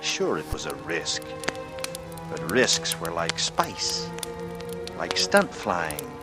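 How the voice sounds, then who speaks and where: A low male voice plays a brooding, calculating American writer in a vintage radio noir. The delivery is measured and intense, like a private confession with smooth charm. Dramatic music runs clearly underneath. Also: huge pitch swings, full character voice. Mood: tense, calculating. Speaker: lead actor and narrator. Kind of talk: 1940s radio noir acting, first-person narration.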